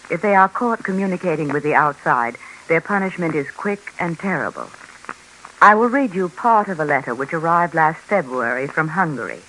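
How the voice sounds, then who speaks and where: Male 1950s radio spokesman, slightly high, crisp bright tone, formal, earnest, faint noise. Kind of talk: scripted 1950s radio documentary reading. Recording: noisy vintage 1950s radio recording.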